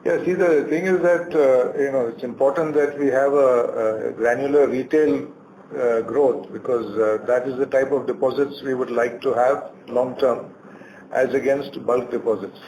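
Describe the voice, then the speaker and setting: A man's voice: medium pitch, fairly even intonation, moderate pace, darkish tone. A man with an Indian accent speaking as a bank executive, answering questions on an earnings call. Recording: faintly noisy conference call.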